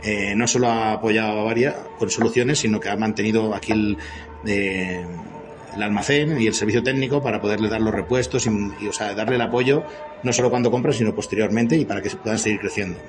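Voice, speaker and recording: A man's voice: fairly low pitch, fast talker. Spanish man in his fifties over music. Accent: Spanish from Spain. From Spain. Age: 50s. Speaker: interview guest, company CEO. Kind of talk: conversational explanation in an interview.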